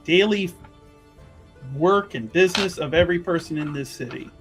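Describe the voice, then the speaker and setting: A woman's voice: fairly low pitch, music in the background, natural voice. Woman playing a guarded, formal religious sister. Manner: formal, measured, evasive. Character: guarded, evasive religious sister investigating a mystery.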